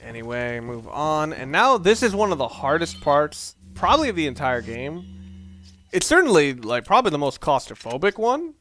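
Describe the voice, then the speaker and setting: A man's voice: a little high, big pitch swings, moderate pace, a bit bright. A man giving casual, unscripted video game commentary in a rambling, sweary, slangy way. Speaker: let's play commentator. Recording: clear background noise.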